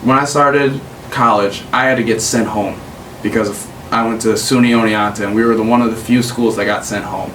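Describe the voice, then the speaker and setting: A young man talks in a relaxed, meandering way, leaning on fillers as he hands out advice. He sounds a bit far from the mic. The room has a noticeable echo and a faint hiss. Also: on the low side, a little fast. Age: young adult. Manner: laid-back, rambling advice with many fillers. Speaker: podcast host. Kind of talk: casual chat.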